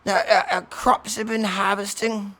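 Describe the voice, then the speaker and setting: A man voicing an old male village cat leader, weary and doddering, with a slow, fretful, drawn-out delivery. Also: sits high, flat delivery, pushed and bright, voice pushed up. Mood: weary lament.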